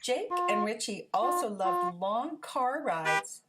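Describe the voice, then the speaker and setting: A woman reading a children's picture book aloud as a storyteller, in an expressive, deliberate way. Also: a little high, loud music behind the voice.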